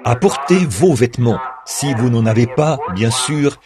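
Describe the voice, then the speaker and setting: A man reads a translated sermon aloud at a middle pitch, measured and earnest in the manner of a preacher. His voice-over sits on top of the original congregation recording, whose crowd sounds come through clearly behind him. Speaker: French reader of a translated sermon.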